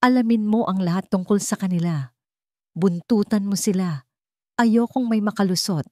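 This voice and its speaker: A woman's voice: medium pitch, moderate pace, darkish tone, dry recording, studio quality, lightly acted. A woman voicing a domineering, scheming, wealthy older mother in a story, commanding and insistent. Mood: cold, commanding.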